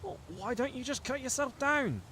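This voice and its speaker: A man's voice: very high-pitched, lively intonation, moderate pace, pushed and bright, heavily acted. A man playing an earnest, polite wartime soldier in a radio drama. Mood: puzzled. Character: earnest, polite male soldier recounting his wartime story. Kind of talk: radio drama dialogue and scripted narration. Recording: very noisy amateur radio play recording.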